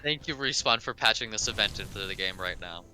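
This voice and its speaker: A man's voice: fairly low pitch, animated, fast, pushed and bright, soft background music. A man co-commentating casually on a live speedrun, with wry, joking banter. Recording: clear background noise, game ambience.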